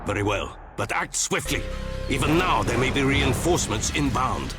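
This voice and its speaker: A man's voice: mid-range voice, animated, normal speed, close to an everyday voice. An actor playing an urgent, imperious military commander in a video game, declaiming his orders with zeal. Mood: urgent, commanding. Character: commanding, zealous male imperial war commander. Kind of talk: video game cutscene acting. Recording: loud music behind.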